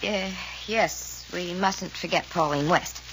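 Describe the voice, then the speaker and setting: A woman's voice: lowish voice, slow, a bit bright. A woman acting a cool, sardonic suspect in an old-time radio serial, dry in manner, on a noisy recording. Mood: pointed.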